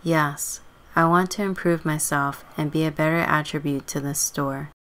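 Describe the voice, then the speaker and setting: Woman as a low-voiced, sardonic teenage runaway girl. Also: steady tone, normal speed, fairly bright tone. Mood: polite, eager. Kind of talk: scripted life-simulation game story.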